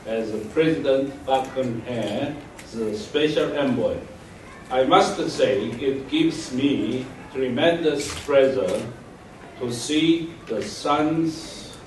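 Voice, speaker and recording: A man delivers a formal speech as an envoy, pausing on "uh" between phrases. He speaks slowly and sounds distant. Heavy reverb surrounds his voice. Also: mid-range voice, a little mellow, a little hiss or hum. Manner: slow and formal, with frequent "uh". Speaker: government envoy. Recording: echoey hall recorded from far off.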